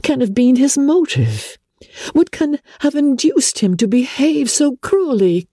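A woman voicing an indignant, easily shocked young gentlewoman in a dramatic storybook reading, earnest and exclamatory. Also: high voice, very animated, measured pace, no echo, studio quality, voice pushed up, heavily acted.

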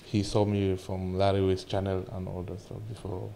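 A man, answering interview questions in halting, simple English with many fillers. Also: low voice, completely flat delivery, vocal fry, mellow, slight background noise. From Cameroon. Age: 20s. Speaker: guest arm wrestler.

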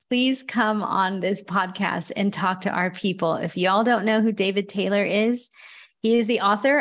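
A woman hosting a podcast, chatting casually with a guest, bubbly and gushing with quick restarts. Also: medium pitch. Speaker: podcast host. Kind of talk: casual interview conversation.

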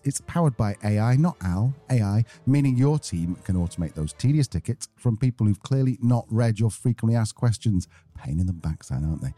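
Very mellow, lively British man. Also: medium pitch. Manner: jokey, tongue-in-cheek. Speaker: podcast co-host, business owner. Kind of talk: casual banter and chatty sponsor reads.

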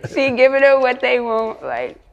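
A woman with a Chicago accent chatting casually and candidly in an interview as a rapper, slangy and quick to laugh. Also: high voice, creaky, in a large hall. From Chicago, United States.

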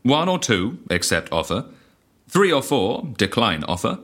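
A man's voice: medium pitch, sing-song, a little slow, a bit bright. An actor playing a mild, compliant man in an audio drama, speaking politely in a literal, matter-of-fact manner. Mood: calm, matter-of-fact. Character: mild, compliant man who obeys dice.

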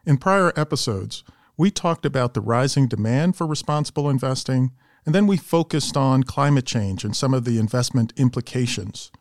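A man's voice: mid-range voice, animated, moderate pace, no room sound, clean studio sound. A man hosting a podcast interview in a polished, professional way, introducing topics and putting questions to a guest. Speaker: podcast host and investment strategist.

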